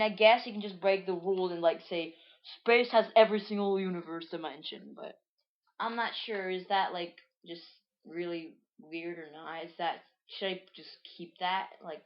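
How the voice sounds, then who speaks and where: A woman with a slightly low voice chatting through her old comic in a loose, rambling way, often stopping to correct herself. The sound is a little dull and lo-fi, like a simple home recording. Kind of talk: casual reading and commentary.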